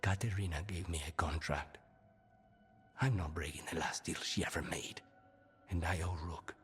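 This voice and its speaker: An actor playing a grim, guarded assassin in a video game, terse, restrained and weary. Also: male voice, low-pitched, fairly even intonation, normal speed, long reverb tail, soft background music, close to an everyday voice. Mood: grim, resolute. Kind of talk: video game cutscene acting.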